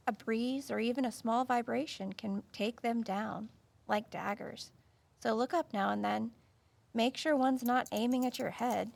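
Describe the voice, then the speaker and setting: An actress playing a terse, no-nonsense dog handler in an audio drama, clipped and matter-of-fact. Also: female voice, a little high, gravelly. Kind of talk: naturalistic audio drama acting.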